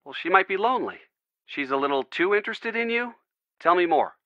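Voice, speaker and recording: An actor playing a caring, loyal young American boyfriend in a video game, earnest and gently wry. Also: male voice, high voice, animated, fairly quick, no echo, muffled recording. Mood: concerned. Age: young adult. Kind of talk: video game dialogue, phone conversation.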